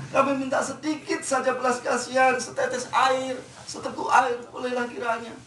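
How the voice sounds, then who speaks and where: A storyteller voicing the prophet Khidir as a calm, stern older man who speaks in a measured, scolding way. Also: sits high, voice pushed up. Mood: pleading. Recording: roomy, distant, faint ambience.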